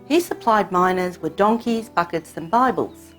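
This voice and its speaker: A woman raised in Hong Kong whose first language is Cantonese, telling her family's story in measured, reflective scripted narration. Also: on the low side, soft background music. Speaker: narrator of her own short film.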